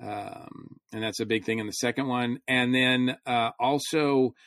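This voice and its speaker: A man's voice: mid-range voice, steady tone, unhurried, fairly bright tone, dry recording, studio quality. A man in casual, rambling conversation as a panelist on a review podcast.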